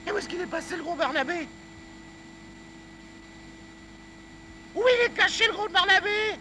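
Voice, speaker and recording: An actor playing a dim, excitable, childlike cartoon helper who stammers and repeats himself. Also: male voice, very high-pitched, fast, slight background noise, heavily acted. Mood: teasing. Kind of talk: cartoon acting.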